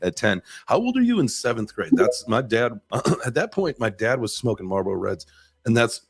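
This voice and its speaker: Man, podcast host, very low voice, dry sound, relaxed rambling chat. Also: no echo. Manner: laid-back, rambling with fillers and slang. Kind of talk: casual chat. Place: remote online stream.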